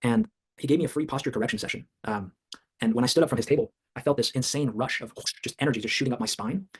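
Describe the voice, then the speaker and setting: Man host, quick delivery, husky tone, eager and chatty in a group talk. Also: mid-range voice, expressive. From China. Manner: enthusiastic, says "like" often. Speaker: workshop host. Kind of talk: casual group discussion.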